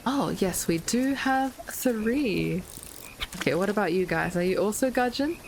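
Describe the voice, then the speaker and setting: A woman with a slightly hoarse voice, chatting casually through her gameplay with light puns and frequent ums. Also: medium pitch, expressive, a little slow, background noise. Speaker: gaming video creator. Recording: loud game music with rain sounds behind.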